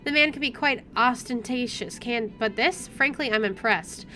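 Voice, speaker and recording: A woman's voice: a little high, normal speed, pushed and bright. A woman reading visual novel narration aloud with wry, dramatic expression over game music. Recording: music playing behind the voice.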